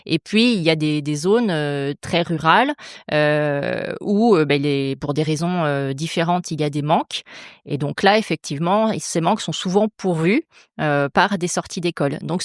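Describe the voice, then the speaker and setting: A woman's voice: deep voice, no echo, clean studio sound. A woman in her forties from Normandy, a public prosecutor speaking as a podcast guest, thoughtful and hesitant, with many fillers and restarts. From France, Normandy. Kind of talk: casual interview talk.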